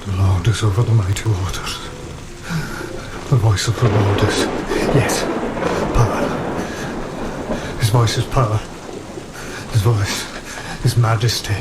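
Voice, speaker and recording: An actor playing a weary, devout old sea captain in a gothic horror audio drama, grave and deliberate. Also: male voice, lowish voice, steady tone, very noisy. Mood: solemn, reverent. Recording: storm rain and thunder over voice.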